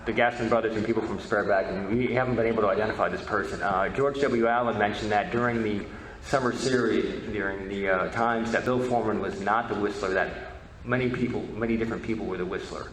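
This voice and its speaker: A man giving an informal history lecture as presenter, halting and often repeating words. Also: on the low side, steady tone. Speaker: presenter, media history researcher. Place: presentation room at a convention. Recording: echoey room with background noise.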